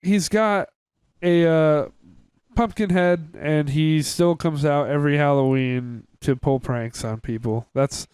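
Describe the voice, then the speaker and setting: Man, slightly high smooth voice, informal podcast co-host, hesitant recapping, casual talk. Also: fairly even intonation, slow pace, darkish tone, no echo. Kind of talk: casual chat reviewing cartoon episodes.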